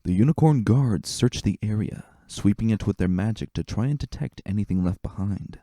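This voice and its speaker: A man narrates a dark story in a low, very mellow voice. His delivery is deliberate and suspenseful, in a roomy sound with faint music underneath. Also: expressive, studio quality. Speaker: narrator of a fan fiction reading. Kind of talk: dramatic scripted narration.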